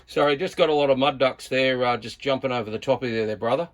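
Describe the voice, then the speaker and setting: A man's voice: mid-range voice, fast talker, noisy background. A man with an Australian accent gives a casual, hands-on radio gear review as a hobbyist, talking in a laid-back, colloquial, blokey way.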